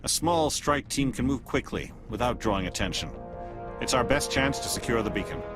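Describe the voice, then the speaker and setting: An actor playing a coolly pragmatic male alien agent in a video game, speaking in a measured, formal, assured way. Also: medium pitch, expressive, over music, close to an everyday voice. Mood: calm, tactical.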